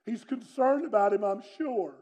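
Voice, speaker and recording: A middle-aged man, preaching a sermon with personal testimony in a loud, booming, strong delivery. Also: moderate pace. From North Carolina, USA. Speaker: pastor.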